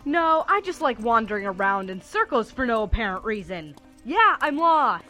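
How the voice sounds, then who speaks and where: A woman's voice: a little high, big pitch swings, moderate pace, pushed and bright, faint music behind. A young woman playing a sarcastic, foul-mouthed, distrustful student in an amateur radio drama, with a blunt, casual manner. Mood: irritated, sarcastic.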